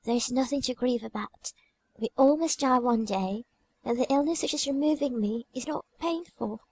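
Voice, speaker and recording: Dying schoolgirl played by a woman, audiobook drama: high pitch, husky whispery softness, tender, calm, pious. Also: moderate pace, poor audio. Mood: serene, frail. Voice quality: soft, weak, breathy. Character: dying young girl, serene, pious, gentle.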